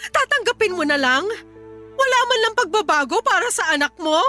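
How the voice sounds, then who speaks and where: High, sharp-voiced heartbroken Filipina mother. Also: sing-song, a little fast, soft background music, full character voice. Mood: angry, desperate. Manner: emotional, reproachful, confrontational. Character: jilted young mother, hurt, pleading, bitterly accusing. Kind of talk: Filipino radio drama acting.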